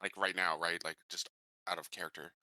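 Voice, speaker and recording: A man's voice: deep voice, level tone, fairly quick, sharp tone. A man chatting casually as a player in a tabletop game. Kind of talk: casual chat.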